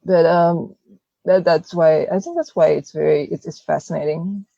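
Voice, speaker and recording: Gravelly woman, mellow voice. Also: low-pitched, fairly even intonation, dry recording, muffled recording. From Taiwan. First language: Mandarin. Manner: halting, restarts phrases often. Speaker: guest writer. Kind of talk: casual interview chat.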